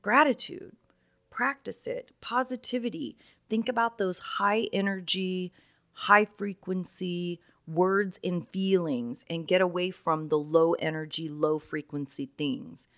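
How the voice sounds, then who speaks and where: A woman hosting a podcast on her own, her naturally raspy voice worn hoarse. She talks in an earnest, encouraging way, pitched low, and the sound is close and dry. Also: measured pace, a bit bright, no room sound. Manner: encouraging, earnest, many "right?" asides. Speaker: podcast host. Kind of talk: casual solo podcast talk.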